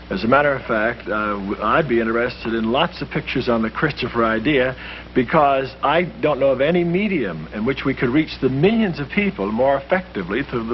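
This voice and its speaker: Casting: American male priest, middle-aged, earnest persuader, expressive mid-pitched voice, faint hum on dull vintage soundtrack. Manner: earnest, rhetorical. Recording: muffled, humming old film soundtrack.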